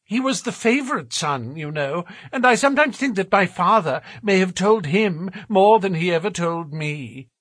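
A man voicing a fussy, anxious, genteel gentleman with a plaintive, fretful manner in an audiobook story. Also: animated, moderate pace, hardly any pauses, dry recording, clean studio sound. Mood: uneasy. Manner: high-pitched, fretful, genteel phrasing.

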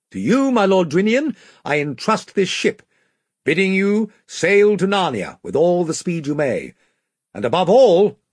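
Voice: mid-range voice, darkish tone, slightly rough, dry recording, studio quality, pitched above the performer's own voice. A reader voicing a proud young king who speaks in a regal, commanding way.

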